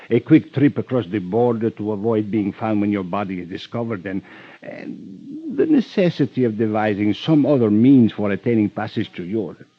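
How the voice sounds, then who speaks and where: Ruthless, flowery-mannered mastermind, vintage radio crime serial, lively intonation, dull old-broadcast sound. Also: male voice, on the low side. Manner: courtly, flowery, wheedling politeness. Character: suave, ruthless male criminal mastermind, flattering and menacing. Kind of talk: 1950s radio drama acting.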